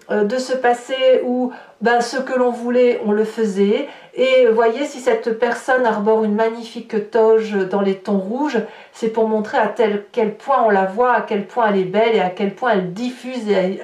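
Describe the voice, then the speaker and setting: A woman giving an unscripted tarot reading as a guidance monologue, halting and often restarting as she searches for words. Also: a little high, steady tone, a little mellow. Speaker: tarot card reader. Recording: roomy echo, distant from the mic.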